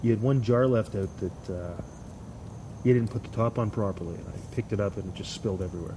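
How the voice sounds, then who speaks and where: A man's voice: fairly low pitch, quick pace. A man with a stuffed-up, congested voice chatting casually, rambling and joking, swearing now and then. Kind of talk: casual chat. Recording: outdoor noise, crickets behind.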